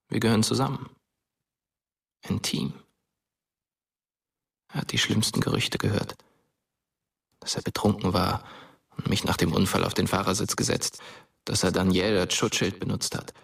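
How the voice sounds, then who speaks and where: Brooding dead teenage boy, low and gravelly. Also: a bit bright, full character voice. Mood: sombre. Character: dead teenage boy narrating as a ghost, darkly knowing. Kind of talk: German radio drama narration.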